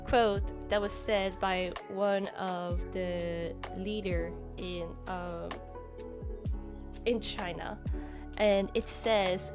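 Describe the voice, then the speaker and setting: A young woman with a French Canadian accent, French her first language, narrating her journal drawing in a relaxed, rambling way with many ums. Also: medium pitch. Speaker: journaling YouTuber. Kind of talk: voice-over narration of a drawing session. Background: background music.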